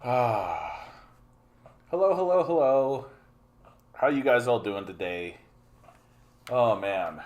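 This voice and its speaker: A man's voice: mid-range voice, lively intonation, slow, pauses often, muffled recording. 40yo canadian guy chatting on his stream, rambly, lots of uhs, says hi to chat a lot. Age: 40s. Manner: rambling, repeats phrases, many fillers; greets viewers by name. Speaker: livestream host. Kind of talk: casual livestream chat.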